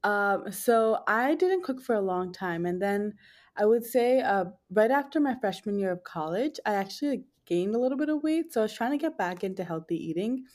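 A woman's voice: medium pitch, animated, moderate pace, a little mellow. A woman in her twenties with a nasal voice, answering casually as a podcast guest. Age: 20s. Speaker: podcast guest, food blogger. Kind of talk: casual conversational interview.

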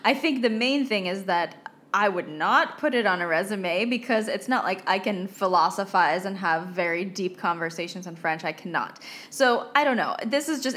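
A woman's voice: medium pitch, a bit bright. An American woman co-hosting a podcast in casual chat, speaking in a joking, self-deprecating way. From United States. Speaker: podcast co-host.

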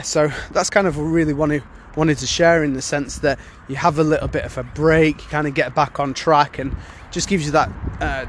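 A man's voice: on the high side, slight background noise. A man hosting a casual solo podcast, sounding congested from a cold and rambling with many fillers and restarts. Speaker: podcast host.

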